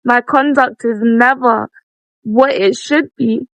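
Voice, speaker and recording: A young woman talks through her thoughts in a relaxed, reflective way and laughs at herself often. Her voice is high and sits on a gravelly fry. The sound is dry and close. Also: slow pace, no room sound. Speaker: vlogger and podcast host. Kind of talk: casual reflective monologue.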